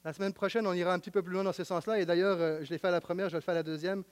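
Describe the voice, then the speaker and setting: A man preaching with a Quebec French accent, his voice sitting high. He hammers home repeated phrases. He swings from loud proclamation to hushed, intimate asides. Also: fairly even intonation, very fast, clean tone. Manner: emphatic, repetitive, swings between loud declaiming and quiet intimacy. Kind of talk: sermon.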